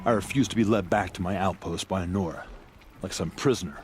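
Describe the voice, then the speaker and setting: A man's voice: low-pitched, fast talker, close to an everyday voice. A man playing a proud but battered soldier in a video game, with a stiffly formal, haughty manner. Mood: indignant, sheepish. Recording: music behind the voice.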